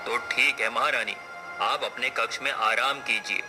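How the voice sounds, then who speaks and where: A man's voice: mid-range voice, steady tone, moderate pace, music in the background, natural voice. A man playing a proud king in a cartoon folk tale, with formal, regal phrasing. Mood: courteous. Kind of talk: cartoon story acting.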